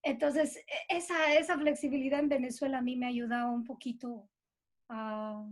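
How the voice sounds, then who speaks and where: High-voiced Bolivian woman, casual and reflective. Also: fairly even intonation, moderate pace. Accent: Bolivian Spanish from Cochabamba. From Cochabamba, Bolivia. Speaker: interviewee, composer and musician. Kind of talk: casual reflective interview talk.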